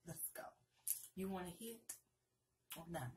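An American woman in middle age speaks at a slow, unhurried pace in a slightly low voice. She is flirty and playful, chatting casually in an ASMR roleplay as a card dealer. There is clear noise in the recording. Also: expressive, darkish tone.

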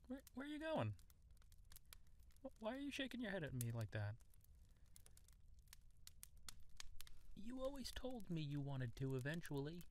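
A man playing an affectionate, playful young husband and new father in an intimate audio roleplay, with a tender, teasing manner and hesitant pauses. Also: medium pitch, darkish tone, slight background noise, close to an everyday voice.

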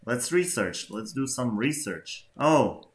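A man's voice: medium pitch, slow, reverberant. A young man from Kosovo, a native Albanian speaker with an Albanian accent, chatting playfully with strangers over a headset at home. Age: young adult. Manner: playful, animated, swears when flustered. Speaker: YouTuber. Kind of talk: casual video chat. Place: small room at home.

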